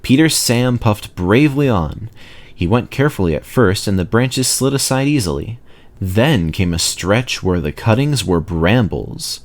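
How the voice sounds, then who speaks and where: A man reading a children's story aloud as narrator, in expressive scripted narration. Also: lowish voice, unhurried. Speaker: narrator, reader of children's stories.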